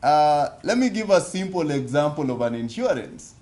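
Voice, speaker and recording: A man's voice: a little high, sing-song, normal speed, darkish tone. A Kenyan man giving an interactive seminar talk at a business forum, often asking the audience questions and repeating his points. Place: conference hall.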